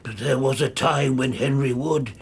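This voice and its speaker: An actor playing a bitter, crippled older ex-soldier telling his story in a radio drama, halting and emotional, with a rasping voice. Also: mid-range voice, a little hiss or hum, natural voice. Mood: bitter, wistful.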